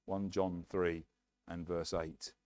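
A middle-aged English man preaching with earnest emphasis, his voice fairly low. He speaks into a headset mic, and the sound is close and dry despite the hall. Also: level tone, slow pace, a little mellow. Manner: earnest, emphatic preaching with hand gestures at a lectern. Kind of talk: sermon. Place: church hall, medium size, indoors.

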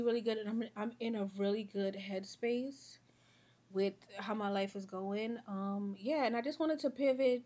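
A young woman doing her makeup at home and chatting to viewers, a little sniffly from a sore throat, rambling in a friendly way. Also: mid-range voice. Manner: rambling, chatty, talks to viewers as y'all and girl. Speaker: beauty vlogger. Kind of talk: casual get-ready-with-me chat.

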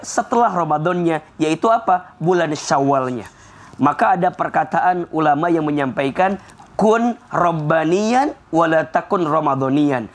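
A man in his thirties preaching in an energetic, emphatic way on a television studio set, speaking into a lapel mic. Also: a little high. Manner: energetic, emphatic, enumerating points. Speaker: Islamic preacher on a TV show. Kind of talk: television sermon.

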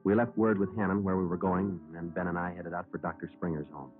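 Man playing clipped deadpan detective sergeant, very low voice, old muffled radio drama. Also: fast, faint music behind, lightly acted. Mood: flat, factual. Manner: clipped, deadpan, matter-of-fact. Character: terse, businesslike male police detective sergeant who narrates. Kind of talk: 1950s radio drama acting and narration.

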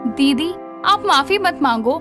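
A woman's voice: sits high, lively intonation, measured pace, over music. A woman voicing a sweet, polite, conciliatory young daughter-in-law in a cartoon. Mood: placating. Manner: polite, placating.

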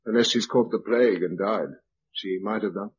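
An actor playing a thoughtful, wry scientist in a British radio drama, reflective and understated in manner. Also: male voice, on the low side, moderate pace, breathy edge. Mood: brooding. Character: Bill, thoughtful wry male scientist survivor. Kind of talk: 1950s-60s British radio drama acting. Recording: dull, noisy old radio recording.

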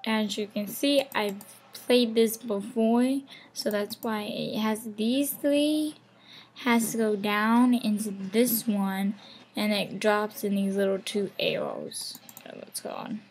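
Hoarse young woman rambling slowly, half-whispering. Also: mid-range voice, expressive, darkish tone, a little hiss or hum. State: losing her voice, sore throat. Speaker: gaming channel host. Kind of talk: casual gameplay commentary. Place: home room.

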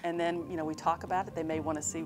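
Low-voiced woman explaining calmly. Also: rapid-fire. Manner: thoughtful, questioning. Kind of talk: interview answers. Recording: interview with music underneath.

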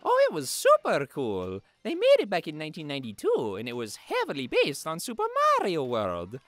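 A man voicing a cheerful, teasing plumber hero from a video game, in an animated cartoon style. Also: a little high, a little fast, dry recording. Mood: chatty. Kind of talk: cartoon fan-dub character acting. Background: music.

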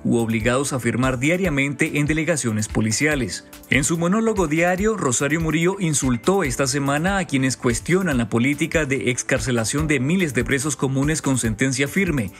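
A man reading a scripted news voice-over in a steady, formal delivery. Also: mid-range voice, normal speed, hardly any pauses, faint music behind. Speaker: news narrator.

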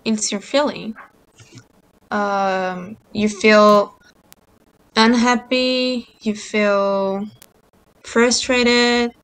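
A woman whose first language is Portuguese talks through a book chapter on a video call. She speaks slowly, with many pauses and ums. Her voice sits at a middling pitch. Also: steady tone, clear, resonant tone, low-quality recording. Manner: slow, hesitant, many ums. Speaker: book club presenter. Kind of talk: casual video-call discussion, reading aloud.

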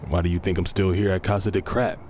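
A man's voice: low voice, little pitch movement, fast talker, darkish tone, slight background noise, close to an everyday voice. An actor playing a blunt, sardonic, down-on-his-luck man who wants revenge, in an audio drama. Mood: sheepish, sardonic. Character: Eddie, crude, down-on-his-luck jobless man seeking revenge. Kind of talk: naturalistic audio drama acting.

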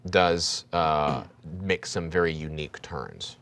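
Deep-voiced man narrating a horror game. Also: expressive, slow pace, vocal fry. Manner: descriptive, drops to a hushed tone for grim scenes. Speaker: game master.

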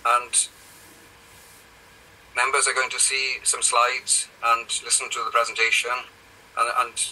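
An older Welsh man on a video call, chairing a council meeting with hesitant, halting speech. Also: mid-range voice, level tone, pushed and bright, a little hiss or hum. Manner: hesitant, halting, many fillers. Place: office, indoors. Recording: distant mic on video call.

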